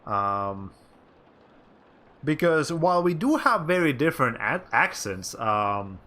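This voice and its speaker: Male streamer, bright tone, casual rambling game commentary with dry asides. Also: mid-range voice, sing-song, takes it slowly. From Latin America. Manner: rambling, self-correcting, with wry asides. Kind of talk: casual chat while playing a game.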